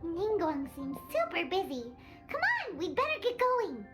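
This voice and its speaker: A woman voicing a tiny, excitable, childlike fairy companion in a video game, chirpy and exclamatory. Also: extremely high pitch, unhurried, over loud music, heavily acted. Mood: eager, curious. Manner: chirpy, exclamatory, speaks of self in third person. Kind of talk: animated video game voice acting.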